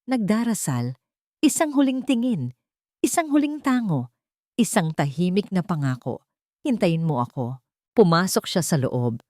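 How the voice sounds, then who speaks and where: A woman with a Filipino accent reading a dramatic story in a measured, suspenseful storytelling manner. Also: low voice, darkish tone, dry recording, clean studio sound. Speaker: audio drama narrator. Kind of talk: dramatic scripted story narration.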